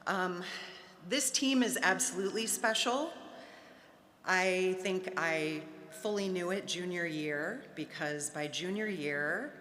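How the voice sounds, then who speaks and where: Slow-speaking woman in an echoey hall. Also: lowish voice, a bit bright, soft background music. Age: 50s. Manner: heartfelt, with light humorous asides. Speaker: former player giving an induction speech. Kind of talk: prepared ceremony speech. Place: large hall at an awards ceremony. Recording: echoey hall with faint ambience.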